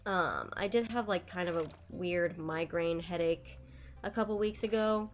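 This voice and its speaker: A woman's voice: fairly low pitch, a little slow, soft background music. A young woman streaming a game, chatting casually with viewers while stuffed up and nasal from a cold. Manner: chatty, rambling, lively. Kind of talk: casual live-stream chat while gaming.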